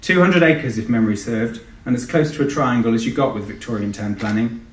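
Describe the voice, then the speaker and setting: A man's voice: medium pitch, fairly quick. British man, echoey live author reading. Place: live event in a hall. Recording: echoey hall, distant microphone.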